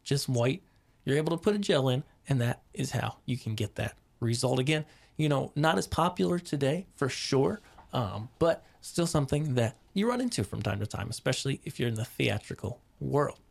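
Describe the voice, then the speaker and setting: A man hosting a podcast about stage lighting, casually explaining gear with many ums and restarts while hoarse from a cold. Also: mid-range voice, animated, moderate pace. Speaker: podcast host.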